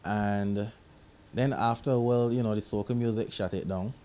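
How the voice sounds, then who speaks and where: A man's voice: fairly low pitch, normal speed, a little hiss or hum. A young Barbadian man, a music producer, talking casually and reflectively about his work in an interview. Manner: relaxed, reflective, drops into local grammar. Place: recording studio.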